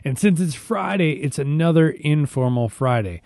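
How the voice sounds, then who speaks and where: A hoarse man, a church musician and host, giving an informal devotional talk in a conversational way with frequent restarts. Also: on the high side, expressive, normal speed, a little mellow, dry recording, clean studio sound. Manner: conversational, repeats and restarts words.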